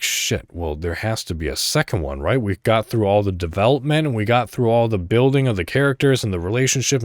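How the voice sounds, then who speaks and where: A man's voice: fairly low pitch, darkish tone, dry recording, studio quality. A man hosting a solo podcast, talking in an informal, conversational way with rambling asides and some reading aloud. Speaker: podcast host. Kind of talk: casual solo podcast commentary, partly reading articles aloud.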